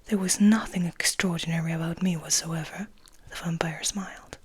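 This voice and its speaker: A woman reading a story aloud as soft ASMR, in a breathy, mostly unvoiced whisper with gentle, unhurried delivery. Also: lowish voice, steady tone. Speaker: ASMR host and reader.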